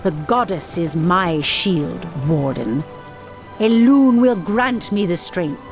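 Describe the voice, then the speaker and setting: A woman playing a devout, brave night elf priestess in a video game, calm, dignified and formal in delivery. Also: fairly low pitch, takes it slowly, faint music behind. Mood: resolute. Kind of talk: dramatic video game voice acting.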